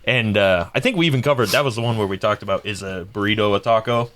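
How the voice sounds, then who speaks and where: Quick-talking easygoing podcast host. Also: male voice, on the low side, fairly bright tone. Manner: easygoing, chatty. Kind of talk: casual chat.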